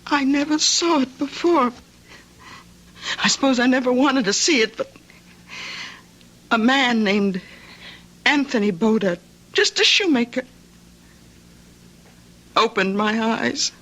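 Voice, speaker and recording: Old radio drama ingénue: young, high bright voice, earnest, hesitant, easily distraught. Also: female voice, animated, slow pace, long pauses, exaggerated voice, rough recording. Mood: sorrowful resolve. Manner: hesitant, faltering, emotional. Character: sheltered young schoolteacher, gangster's daughter, earnest and distraught.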